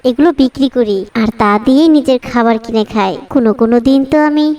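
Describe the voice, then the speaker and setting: A woman's voice: on the high side, brisk, darkish tone, lightly acted. A woman playing a poor, cheerful orphan girl in a children's moral cartoon, speaking plaintively and earnestly.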